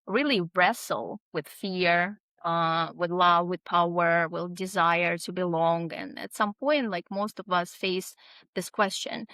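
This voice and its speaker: A woman's voice: mid-range voice, a bit bright, no echo. Hesitant woman author, very dry sound. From abroad, immigrated around twenty-two. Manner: hesitant, many fillers and restarts. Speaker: author guest. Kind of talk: casual interview talk.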